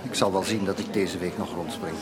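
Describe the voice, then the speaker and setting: An actor playing a polite, hesitant gentleman sponger in a Dutch radio drama, ingratiating in manner. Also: sits low, fairly even intonation, a little fast, a loud crowd, lightly acted. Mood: wheedling, embarrassed. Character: wheedling, hesitant gentleman borrower, chronically short of money. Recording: radio play with loud music behind.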